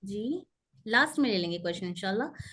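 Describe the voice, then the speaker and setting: A woman teaching a pronunciation class over a video call, explaining step by step and repeating terms and letters slowly. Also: mid-range voice, animated, fairly bright tone. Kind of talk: online class lecture. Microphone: computer mic on a video call.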